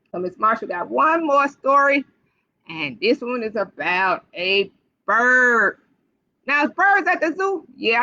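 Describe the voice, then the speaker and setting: Animated high-voiced woman telling children's stories. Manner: talks directly to child listeners, asking questions; animated, playful. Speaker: library storytime host. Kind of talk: children's read-aloud storytelling. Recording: dull lo-fi livestream audio.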